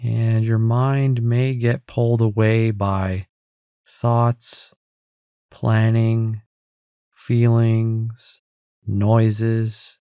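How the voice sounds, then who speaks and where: A man with a mellow, lowish voice guides a meditation in a very dry, close recording. He gives calm, gentle instructions and leaves space between phrases. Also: extremely slow, clean tone, dry recording. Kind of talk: guided meditation, scripted narration.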